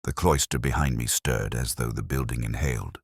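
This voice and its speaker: A man narrating a literary detective story in the first person, with a solemn, measured, brooding delivery. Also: extremely low pitch, vocal fry, husky, dry recording, clean studio sound.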